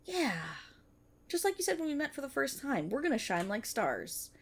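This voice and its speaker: A woman playing an excitable, earnest young banshee idol-in-training, chatty and teasing, in an ASMR-style roleplay aimed at the listener. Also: high voice, moderate pace. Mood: alarmed, then determined.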